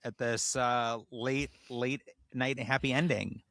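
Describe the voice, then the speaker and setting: A man's voice: medium pitch, fairly even intonation, slow pace, fairly bright tone, no room sound, studio quality. A man hosting a comedy podcast, reading a story aloud in a mock-solemn tone and breaking into casual banter.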